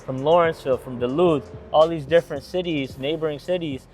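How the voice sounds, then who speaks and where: A man's voice: a little high, fairly quick, darkish tone, faint music behind. A young man from Atlanta who owns a gas station restaurant, telling its story in a casual interview with eager, rambling answers and many fillers. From Atlanta, Georgia.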